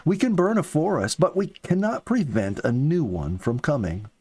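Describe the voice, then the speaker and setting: A man reading the part of an earnest, philosophical young soldier in an audiobook, with slow, deliberate phrasing. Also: a little high, animated, a little mellow, slightly rough, pitched above the performer's own voice. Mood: earnest, hopeful. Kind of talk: audiobook character dialogue.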